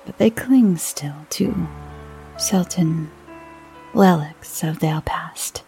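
A woman voicing a timid, uneasy older village priest who speaks in slow, hushed whispers. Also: fairly low pitch, gravelly.

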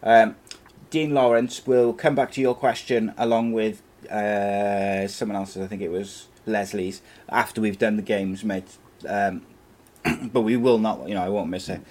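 A man's voice: fairly low pitch, steady tone, unhurried, a little mellow. A man hosting a casual football chat show, laid-back and chatty, reading out viewer questions. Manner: laid-back, chatty, calls co-host mate. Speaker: show host.

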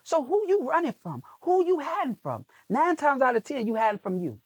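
A man's voice: extremely high pitch, big pitch swings, a little fast, dry recording. A man speaking as a guest on a podcast, coaching people on making video content in an emphatic, preachy way with lots of repetition. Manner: emphatic, preachy, repeats phrases. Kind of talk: motivational podcast interview.

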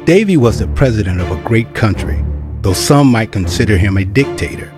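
Low-voiced man narrating a wry tale. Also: expressive, brisk, over music. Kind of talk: scripted storytelling narration.

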